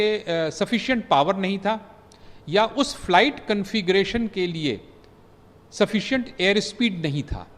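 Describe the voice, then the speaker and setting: Former pilot guy on the news, high-ish voice, slow and halting with lots of uh, echoey reverb. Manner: measured, halting, many fillers, mixes English phrases. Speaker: former pilot giving expert analysis. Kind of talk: news commentary interview.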